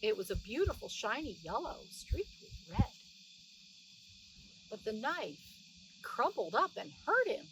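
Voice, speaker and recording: Animated higher-voiced woman reading a storybook. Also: a little slow, pauses often. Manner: animated, explaining things simply for young children. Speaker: children's read-aloud narrator. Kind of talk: expressive storybook reading with plain asides to explain words. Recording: distant mic with faint background noise.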